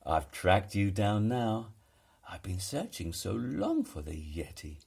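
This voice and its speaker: A man voicing an eager, polite young boy adventurer in a children's storybook, with an excitable manner. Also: fairly low pitch, takes it slowly, vocal fry, husky, heavily acted. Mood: excited. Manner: excitable, exclaiming.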